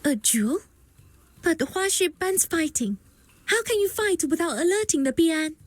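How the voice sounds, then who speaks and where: An earnest young game heroine with a defiant streak. Her voice is very high and her pace slow, recorded dry with faint music behind. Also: very animated, no echo, exaggerated voice. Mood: wary, resolute. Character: earnest, defiant young woman fighting for justice. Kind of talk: video game voice acting.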